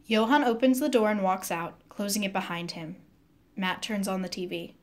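A woman's voice: mid-range voice, moderate pace. A woman reading scripted scene headings and stage directions as a narrator.

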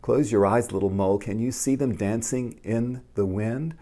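A man voicing a gentle, reassuring mother mole in a picture book, speaking softly and tenderly with drawn-out words. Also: fairly low pitch, lightly acted. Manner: soft, slow, tender, drawn-out.

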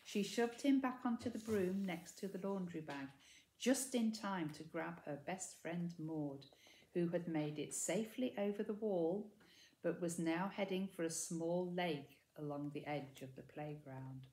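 A woman's voice: fairly low pitch, a little slow, mellow. A woman in her sixties reading a children's story expressively, recorded indoors at a short distance from the camera. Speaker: storybook reader. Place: indoors, living room by a window. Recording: echoey room.

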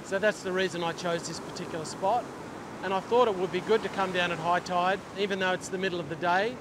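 A middle-aged man with an Australian accent talks in a relaxed, instructional vlog style. His voice sits high for a man. It is recorded outdoors on a beach, with clear noise from waves and wind behind him. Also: fast talker. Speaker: fishing instructor and vlogger. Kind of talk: casual instructional talk to camera. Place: open ocean beach, outdoors. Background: waves, surf and wind.

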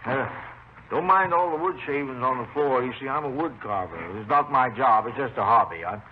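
An actor playing a garrulous, folksy, meticulous retired railroad engineer who carves wood, in a 1950s radio drama. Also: male voice, medium pitch, lively intonation, brisk, natural voice. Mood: chatty, genial. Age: older. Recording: noisy old radio recording, narrow phone-like band.

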